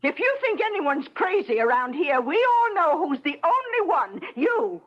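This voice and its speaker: An actress playing a nagging, skeptical wife in an old-time radio comedy drama, with a scolding, sarcastic delivery. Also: female voice, very high voice, very animated, moderate pace. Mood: mocking. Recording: muffled old radio broadcast recording.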